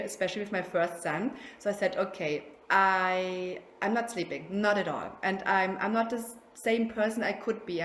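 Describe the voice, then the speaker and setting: A woman's voice: medium pitch, slight background noise. A German woman in her forties, a sleep consultant, chatting in a podcast interview and laughing now and then. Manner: chatty, laughs often, restarts words. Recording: roomy echo, faint ambience.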